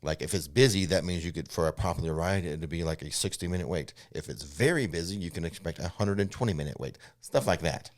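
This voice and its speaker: A man's voice: sits low, quick pace, hardly any pauses. A man co-hosting a casual, unscripted talk, explaining things informally and matter-of-factly with some hedging, as he answers viewer questions. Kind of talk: casual unscripted theme park news chat. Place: studio.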